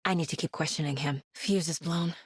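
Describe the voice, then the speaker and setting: A woman playing a determined, sharp investigator in a horror video game, measured and introspective, often as if talking to herself. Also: low voice, husky, no echo, clean studio sound. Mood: focused, pensive. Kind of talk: cinematic video game acting, naturalistic.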